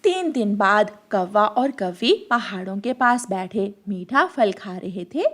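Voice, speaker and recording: A woman's voice: mid-range voice, lively intonation, slow, a bit bright. A woman reading scripted narration for a children's cartoon story, as the storyteller.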